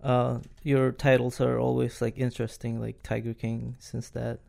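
Man, gravelly voice, mid pitch, casual encouraging interviewer, video call. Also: completely flat delivery, normal speed, a little mellow. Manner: encouraging, lots of short backchannel replies. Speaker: host, interviewer.

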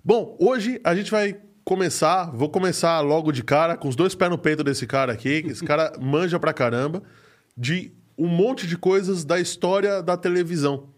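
A Brazilian man with a fairly high voice for a male speaker hosts a podcast. He chats casually, full of slang and jokes, keeping everything informal. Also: moderate pace. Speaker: podcast host. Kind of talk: casual chat.

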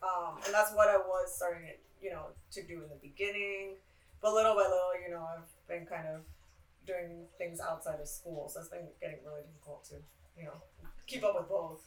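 A woman's voice: fairly low pitch, fairly even intonation, a little fast, slight background noise. A woman of twenty-five, an art student, chatting casually in an interview and laughing often between rambling answers. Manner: giggly, rambling with frequent laughs. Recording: roomy sound from a distant mic.

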